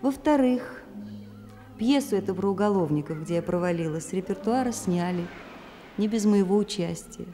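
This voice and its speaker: A woman's voice: mid-range voice, moderate pace, mellow, faint music behind, natural voice. An actress playing a wistful, candid woman reading her letter in a radio play, intimate and reflective. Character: wistful, candid actress writing to a man she loves. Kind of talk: Russian radio play monologue. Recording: long reverb, faint background noise.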